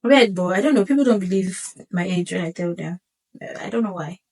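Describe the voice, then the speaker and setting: A woman in her twenties hosting her own vlog, seated and chatting casually, often pausing to correct herself with light laughs. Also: on the low side, lively intonation, darkish tone. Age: 20s. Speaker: vlog host. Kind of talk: casual solo vlog monologue. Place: seated indoors.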